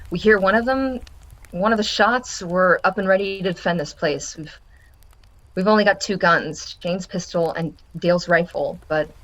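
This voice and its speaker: A woman's voice: medium pitch, fairly bright tone, poor audio. An actress playing a protective, worn wife and mother in a survivor camp, read as a drama table read.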